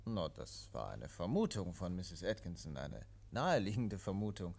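An actor playing a calm, matter-of-fact police inspector in a 1950s German radio play, speaking with dry precision. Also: male voice, deep voice, normal speed, creaky, slightly rough, exaggerated voice. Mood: firm, patient. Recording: vintage 1951 radio drama recording.

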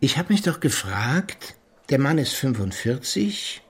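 A probing police detective in a radio play. He has a husky, mid-pitched voice with lively inflection, and talks in a dry, deliberate, ironic way. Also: exaggerated voice. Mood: wry, musing. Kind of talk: German radio play acting.

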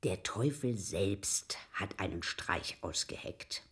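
An actress in a German radio drama playing a curious, superstitious, pert serving woman with a husky, often hushed voice. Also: a bass voice, expressive, takes it slowly, exaggerated voice. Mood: hushed, eerie.

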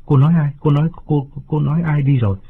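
A man's voice: on the high side, quick pace, phone-quality sound, slight background noise, pitched above the performer's own voice, close to an everyday voice. A man doing a timid, stammering young servant. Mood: hesitant. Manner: hesitant, stammering.

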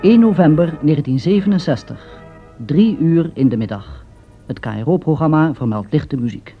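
Formele hoorspelaankondiger met muziek erachter. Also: male voice, on the high side, expressive, normal speed, faint music behind. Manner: formal. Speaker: announcer of a radio play. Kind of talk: scripted title announcement. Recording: muffled old radio recording.

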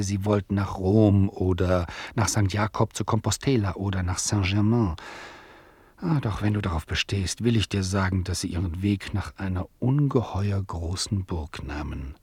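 An actor playing a teasing, mock-impatient storyteller in a radio play, addressing the listener in a wry, theatrical and digressive way. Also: male voice, fairly low pitch, a little mellow, husky, dry recording.

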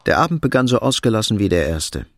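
An actor reading scripted literary narration as the sober, detached storyteller of a radio play. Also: male voice, on the low side, very animated, quick pace, vocal fry, no echo, heavily acted. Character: sober, detached storyteller of a Cold War thriller.

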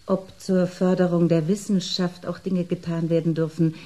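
A woman playing a reserved, precise psychotherapist in a radio drama, speaking in a clipped, formal, measured way. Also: on the low side, little pitch movement, mellow, a little hiss or hum, natural voice. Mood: discreet, curt. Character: reserved, precise female psychotherapist with two doctorates.

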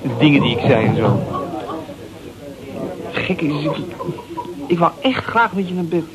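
An actor in a Dutch radio play as a defensive, insecure young man who talks haltingly in coarse, slangy language. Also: a little high, sing-song, poor audio. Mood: confessional, vulnerable. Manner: halting, repeating words, coarse slangy swearing. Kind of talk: naturalistic Dutch radio drama acting. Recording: radio play with crowd chatter behind.

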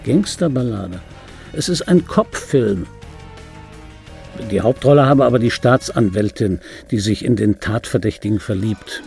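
A male actor playing a vain, aggrieved middle-aged dubbing actor in a German radio drama monologue, insistent and repetitive, with a raspy voice. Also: fairly low pitch, a little slow, a little mellow, soft background music. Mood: irritated. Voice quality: raspy, gravelly. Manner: insistent, repetitive, self-justifying. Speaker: narrator of a radio play. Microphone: close studio microphone, dry.

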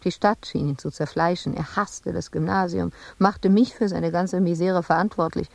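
An actress in a radio drama playing a melancholy woman sculptor who recalls her past in hushed, halting, intimate phrases. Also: fairly low pitch, fairly even intonation, creaky, no room sound. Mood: brooding, bitter.

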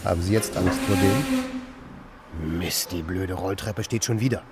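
An actor playing an idle, bemused drifter who mutters and exclaims to himself in a German radio play. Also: male voice, sits low, lively intonation, measured pace, over loud music. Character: idle male drifter, musing, irritable, bemused.

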